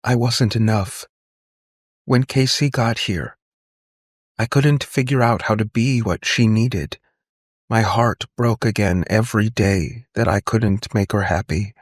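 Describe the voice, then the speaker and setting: A man's voice: lowish voice, a little slow, no room sound, studio quality, voice pushed up. A man voicing a driven, emotional woman inventor in an audiobook, arguing with passion and defiance. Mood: pleading. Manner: impassioned, argumentative.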